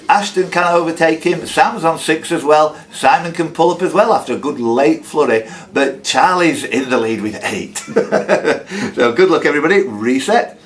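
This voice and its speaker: A man hosting a live club quiz, reading out questions and slipping in teasing, jokey asides. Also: a little high. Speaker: quiz host. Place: working men's club.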